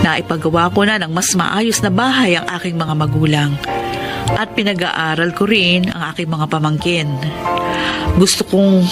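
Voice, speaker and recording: Earnest devoted daughter, loud music behind. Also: female voice, fairly low pitch. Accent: Filipino. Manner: earnest, heartfelt. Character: determined, devoted young woman engineer, loving daughter. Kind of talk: radio drama acting and narration.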